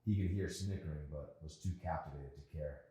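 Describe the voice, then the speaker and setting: A man's voice: very deep voice, brisk, warm tone. A man reading a novel aloud as an audiobook narrator. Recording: very echoey, reverberant room.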